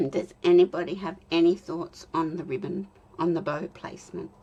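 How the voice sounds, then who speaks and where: An Australian woman in her fifties, chatting and talking to herself while she demonstrates card making, with a slightly croaky voice. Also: on the low side, fairly even intonation, moderate pace, muffled recording. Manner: rambling, self-talk with soft laughs. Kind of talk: chatty crafting demo.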